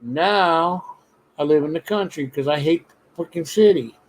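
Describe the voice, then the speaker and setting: Man, from new york, live stream host, casual chat, blunt and profane, slightly high voice. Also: normal speed, low-quality recording.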